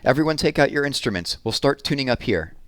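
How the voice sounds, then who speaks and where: A man as an anxious storybook bear bandleader, raised pitch, urgent calls, dry sound. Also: expressive, quick pace, a bit bright, no room sound. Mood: directing. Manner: urgent, calling out. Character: anxious male bear bandleader with a double bass.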